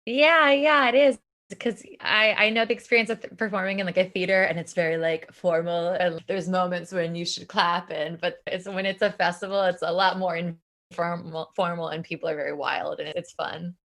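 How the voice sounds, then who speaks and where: Lively woman singer with lower voice. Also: moderate pace. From Puerto Rico. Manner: enthusiastic, many "like" fillers, occasional restarts. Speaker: singer and bandleader, podcast guest. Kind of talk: casual interview chat.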